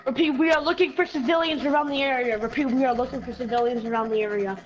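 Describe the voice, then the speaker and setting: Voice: sits high, steady tone, quick pace, muffled recording, close to an everyday voice. A young gamer playing an urgent military rescuer, with clipped, repetitive radio-speak in a game roleplay. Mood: urgent, official. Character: urgent military rescuer calling over walkie-talkie.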